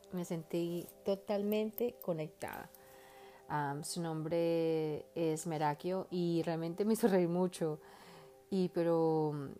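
A woman hosts her own podcast, speaking earnestly and from the heart in a loose, rambling way full of fillers. Her voice sits low and sounds warm and mellow, with soft music faintly playing behind her. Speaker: podcast host. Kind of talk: casual personal monologue.

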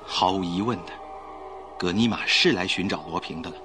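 A man playing a suave, composed, romantic gentleman traveler in a courteous, theatrical radio drama style. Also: low voice, fairly quick, music in the background, lightly acted. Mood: intent, knowing. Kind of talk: Mandarin radio drama acting.

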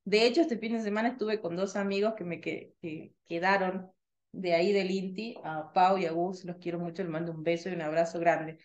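A woman in her thirties from Tucumán with a slightly softened Tucumán Argentine accent, co-hosting a casual radio podcast chat with trained, clear articulation. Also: lowish voice, brisk, muffled recording. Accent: Tucumán Argentine Spanish, slightly softened. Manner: chatty, lively, trained articulation.

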